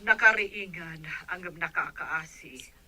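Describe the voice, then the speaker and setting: A woman's voice: on the low side, slow, sharp tone, full character voice. A woman playing a caring, worried adult explaining things in an Ilocano radio drama. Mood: concerned.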